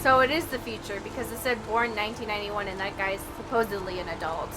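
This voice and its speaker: A woman's voice: mid-range voice, fairly even intonation, quick pace, background noise. A young woman giving sarcastic, laughing commentary while playing a game with a friend. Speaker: let's play host. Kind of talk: casual gameplay commentary.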